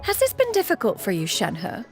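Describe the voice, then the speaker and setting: An actress playing a proud immortal master in a video game, speaking in formal, archaic phrasing. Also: female voice, on the high side, wildly swooping pitch, moderate pace, faint music behind. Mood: composed, concerned. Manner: formal, archaic, calls herself "one". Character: proud, haughty immortal female master in human form.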